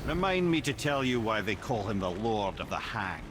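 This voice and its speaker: An actor with a Scottish accent playing a wry, learned, chatty storyteller companion in a video game. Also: male voice, lowish voice, big pitch swings, moderate pace, noisy background. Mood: wry storytelling. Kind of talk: video game character acting.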